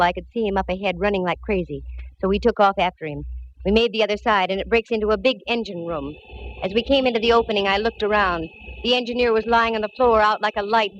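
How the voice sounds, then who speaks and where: A woman's voice: medium pitch, slight background noise, close to an everyday voice. An American actress playing a plucky, wry female private eye, narrating in clipped, dramatic 1940s radio style. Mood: tense, urgent. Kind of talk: 1940s radio detective drama acting, hard-boiled narration. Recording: dull vintage 1940s radio recording.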